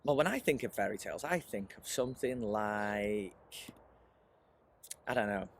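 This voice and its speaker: A man leading a children's writing workshop in an animated, encouraging presentational style, often putting questions to his listeners. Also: lowish voice, measured pace. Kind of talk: presentational teaching aimed at children, with rhetorical questions.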